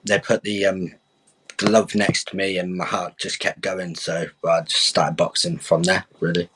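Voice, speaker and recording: Frank, chatty young man, low voice. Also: level tone, unhurried, fairly bright tone, breathy edge, no echo, rough recording. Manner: open, frank, chatty, restarts with "I'll be honest". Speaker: guest boxer. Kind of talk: candid podcast interview.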